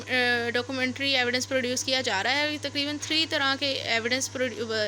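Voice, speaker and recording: Pakistani lady teacher explaining stuff step by step, bright high voice, bit of noise on the recording. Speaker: law lecturer. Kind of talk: explanatory lecture, reading out articles then explaining. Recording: noticeable background noise.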